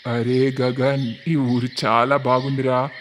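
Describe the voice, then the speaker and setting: Man acting a village blackmailer, gloating, scheming, mid pitch, cartoon villain, noisy background. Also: little pitch movement, slow, natural voice. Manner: scheming, menacing.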